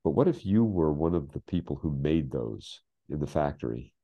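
An American man in his sixties telling stories in casual conversation, halting and emphatic, often repeating phrases for weight. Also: a bass voice, lively intonation, highs cut off. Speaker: guest, scriptwriter. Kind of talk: casual anecdotal storytelling in conversation. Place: home office, video call.

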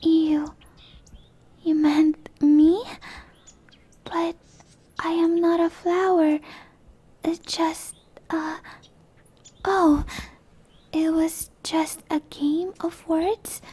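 A timid tree dryad, played by a woman for an ASMR roleplay. Her whispered voice is tiny and very high, gentle and soft-edged. She speaks extremely slowly, stumbling bashfully over her words. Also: little pitch movement, exaggerated voice. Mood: flustered, bashful. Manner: hesitant, stammering, apologetic, slow.

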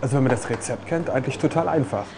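A man's voice: mid-range voice, very fast, creaky, music in the background. A man in his thirties hosting a travel food show on camera, upbeat and enthusiastic, walking through a market and cooking, on a lapel mic. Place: outdoor city street and market, then a small kitchen. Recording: busy street noise behind.

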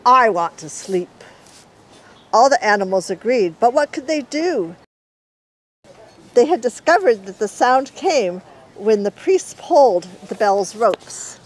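A woman's voice: fairly high pitch, very animated, measured pace, a little mellow, poor audio. A woman in her sixties with an American accent, reading a picture book aloud as its author. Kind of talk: storybook read-aloud. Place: outdoor walled courtyard in daylight.

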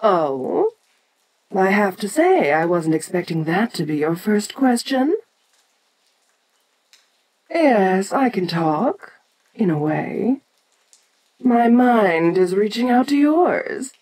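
A woman's voice: medium pitch, big pitch swings, slow pace, long pauses, darkish tone, low-quality recording. A woman playing an ancient, domineering giant kaiju queen in an audio roleplay, speaking with imperious condescension. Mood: amused condescension. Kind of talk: scripted audio roleplay addressed to the listener.

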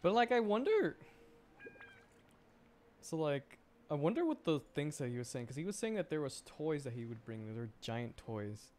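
A woman's voice: low-pitched, very animated, normal speed, a little mellow. A woman streamer giving casual, amused game commentary, reacting aloud as she plays. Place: indoor stream voice-over with game music behind.

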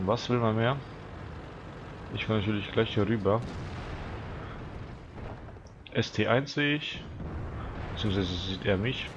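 Teen gamer with a stuffy nose. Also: male voice, on the low side, dull audio, background noise. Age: teens. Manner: chatty, relaxed, talks to viewers. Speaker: gaming channel host. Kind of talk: casual gameplay commentary.

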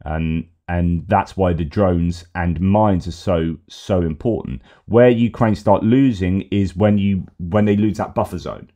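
A man's voice: low voice, unhurried, few pauses, mellow. A middle-aged man hosting a casual news commentary from a small home room over a headset, rambling with fillers and restarts, his voice slightly worn. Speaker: host.

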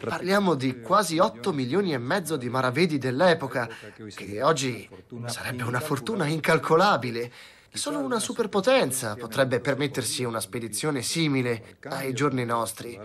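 Calm man explaining history. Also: mid-range voice, normal speed. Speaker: interviewed historian. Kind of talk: dubbed interview voice-over.

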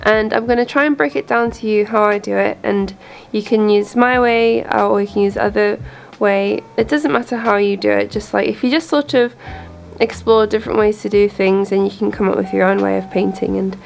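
A woman talking informally in a rambling, hesitant way as she hosts a painting video, slightly croaky from a cold. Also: mid-range voice, steady tone, fairly quick, soft background music. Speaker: host of a painting channel. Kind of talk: casual chat and art tutorial. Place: indoors at home, at a desk.

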